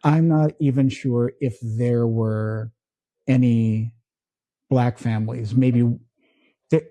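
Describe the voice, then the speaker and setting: Slow, dark-voiced man from the Philippines. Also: mid-range voice, steady tone, dry recording. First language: Tagalog. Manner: slow, halting, many restarts. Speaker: podcast co-host. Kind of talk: casual chat.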